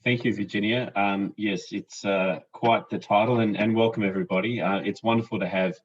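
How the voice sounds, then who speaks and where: Very deep-voiced hesitant man moderator. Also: steady tone, a little fast, breathy edge, muffled recording. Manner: hesitant, many ums and restarts. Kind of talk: casual panel moderation. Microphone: video call.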